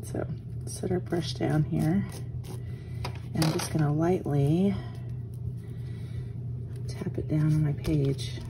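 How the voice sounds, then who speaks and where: A woman with a hoarse morning voice, chatting casually as she walks through a craft tutorial at her desk, often trailing off into soft murmurs. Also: deep voice, background noise. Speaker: art journaling instructor. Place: home craft desk, indoors.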